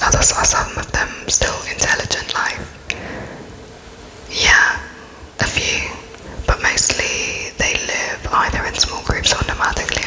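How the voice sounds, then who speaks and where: A person whispering as an interviewer in an ASMR roleplay, attentive and softly inquisitive, with halting phrases as if writing notes while speaking. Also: rough recording. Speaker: interviewer noting down answers.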